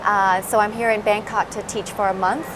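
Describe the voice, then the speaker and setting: Female fitness instructor from Singapore, relaxed explainer, hesitant fillers, noisy background. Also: medium pitch, moderate pace. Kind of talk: interview answers.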